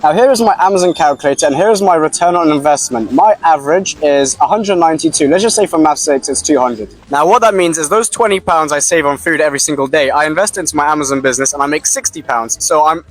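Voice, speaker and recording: A young man whose first language is Russian, vlogging outdoors about saving money in a quick casual way, with slang and the odd stumble. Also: fairly high pitch, low-quality recording. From Russian-speaking background. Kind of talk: fast-paced money-talk vlog.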